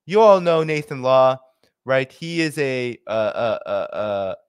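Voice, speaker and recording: Young male commentator, studio mic, dry room, animated with lots of uhs. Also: mid-range voice, measured pace, smooth, dry recording. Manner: animated, gesturing, many fillers. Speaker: host and commentator. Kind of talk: commentary on video clips. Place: small home office. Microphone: studio mic with headphones.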